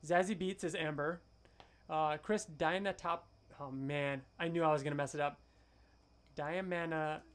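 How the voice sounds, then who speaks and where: A man co-hosting a podcast, chatting casually and with excitement, thinking aloud and stumbling over hard names. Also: fairly high pitch. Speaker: podcast co-host. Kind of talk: casual chat.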